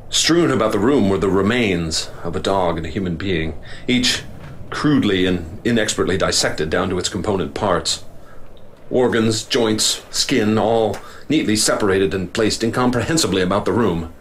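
A middle-aged man plays a professor recounting an expedition in a radio drama. His delivery is deep, slow and grave. A faint hiss sits under the recording. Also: close to an everyday voice. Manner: measured, grave storytelling. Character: male professor leading an expedition, grave and measured. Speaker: narrator. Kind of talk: first-person radio drama narration.

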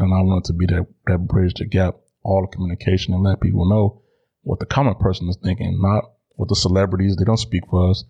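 Deep, husky American man hosting a podcast. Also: mellow, dull audio. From Chicago, United States. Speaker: podcast host. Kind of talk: solo podcast monologue, conversational.

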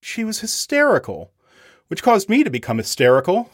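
An actor playing a gentle, worried middle-aged father who speaks haltingly and pleadingly in an audio drama with faint music. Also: high voice, huge pitch swings, full character voice. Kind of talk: naturalistic audio drama acting.

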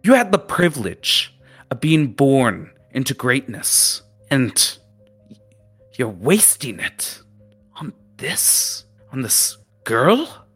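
A man's voice: mid-range voice, big pitch swings, slow pace, husky, voice pushed up, exaggerated voice. A man voicing an imperious male sea captain who scolds students with contempt, over faint music. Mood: scornful. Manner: scolding, shouted outbursts.

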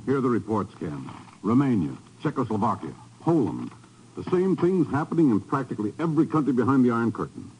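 An actor playing a grave, emphatic intelligence chief in a 1950s radio spy drama. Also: male voice, mid-range voice, sing-song, fast, darkish tone, a little hiss or hum, rough recording. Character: grave male intelligence chief briefing his agent. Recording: vintage 1950s radio recording.

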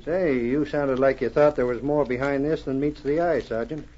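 An actor playing an inquisitive frontier newspaper editor with folksy, wry small-town talk in an old radio drama. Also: male voice, mid-range voice, fairly even intonation, normal speed, a little mellow, close to an everyday voice. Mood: curious. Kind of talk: 1940s radio drama acting. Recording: muffled, noisy old radio recording.

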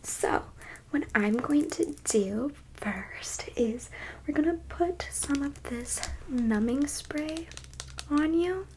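Woman playing a slow, half-whispering smug alien abductor. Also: sits high, animated, a little hiss or hum, poor audio. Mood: clinical, soft. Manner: condescending, teasing, breathy half-whispered delivery. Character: smug, teasing female alien abductor examining a captured specimen. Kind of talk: ASMR roleplay.

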